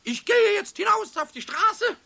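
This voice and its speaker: A tiny, mischievous male kobold in a children's radio play, extremely high and swooping wildly in pitch. He brags like an excitable child, stammers and slips into singsong rhymes, all in a squeaky croak. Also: a little slow, exaggerated voice. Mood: defiant. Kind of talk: 1970s German children's radio play acting.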